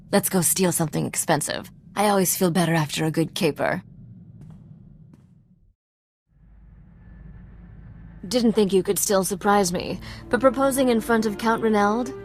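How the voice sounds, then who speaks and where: Wry, self-assured young smuggler princess, low-pitched, sly and sarcastic game character, faint music underneath. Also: female voice, creaky. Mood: playful, sincere. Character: sly sarcastic young woman smuggler, mechanic and royal heir. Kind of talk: scripted video game dialogue.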